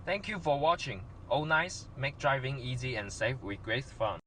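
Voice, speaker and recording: A man's voice: mid-range voice, normal speed, sharp tone, slight background noise. A man giving a scripted product demonstration with non-native English phrasing.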